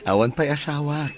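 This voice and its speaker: An actor with an Ilocano Filipino accent playing an eager, polite, smitten bachelor in a radio comedy drama. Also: male voice, on the high side. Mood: chatty, courting.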